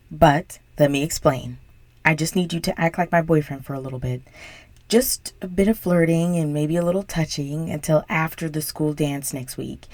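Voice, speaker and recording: A bossy, exasperated popular high school girl played by a young woman in an audio roleplay. Her voice sits low, and the sound is close and dry. She pushes and whines with sighs, a brat who is secretly desperate. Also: darkish tone, no room sound, natural voice. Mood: pleading, scheming. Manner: whiny, sighing, pushy. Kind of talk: intimate audio roleplay addressed to the listener.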